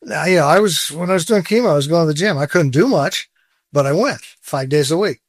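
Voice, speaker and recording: A man's voice: on the high side, animated, brisk, dry recording, clean studio sound. A man in his sixties, a guest in a casual interview, talking candidly and with self-deprecating humor, often breaking into a laugh. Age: 60s.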